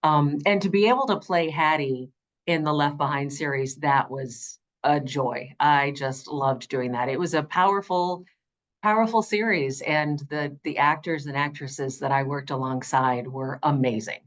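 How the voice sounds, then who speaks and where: Middle-aged woman, low alto, interview guest recounting her audiobook narration career with emphatic conviction. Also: steady tone, measured pace. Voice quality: low alto, changed by vocal nodules. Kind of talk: conversational interview storytelling.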